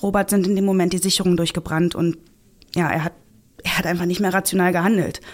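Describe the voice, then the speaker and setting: An actress playing an earnest, principled policewoman in an audio drama, halting but insistent as she speaks. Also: fairly low pitch, flat delivery, lightly acted. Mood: insistent, uneasy. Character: earnest, principled policewoman standing her ground. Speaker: police officer. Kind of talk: naturalistic audio drama dialogue.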